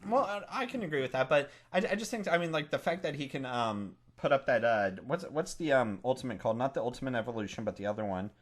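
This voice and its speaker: Man chatting excitably on a gaming podcast. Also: medium pitch, quick pace, hardly any pauses. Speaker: podcast co-host. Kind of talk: casual gaming podcast discussion.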